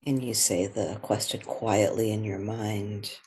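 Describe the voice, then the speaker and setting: A meditation guide with a soft, breathy voice, speaking gently and without hurry, leaving long pauses. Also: medium pitch, little pitch movement, slight background noise.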